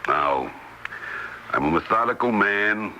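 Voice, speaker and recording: An actor playing a gruff, brash card sharp with a soft heart in a radio drama. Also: male voice, lowish voice, animated, slow pace, heavily acted. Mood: confessing, rueful. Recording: noisy vintage radio broadcast.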